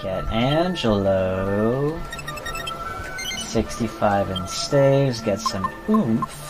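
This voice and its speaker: A man's voice: on the low side, lively intonation, extremely slow. A man giving casual, laid-back gameplay commentary with dry asides, as a live streamer playing a video game. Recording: game music behind the voice. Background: video game music.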